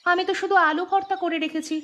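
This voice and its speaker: A woman voicing a caring young village daughter who coaxes and gently nags in an animated story. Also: very high-pitched, fast talker. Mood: worried, chiding. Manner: earnest, coaxing.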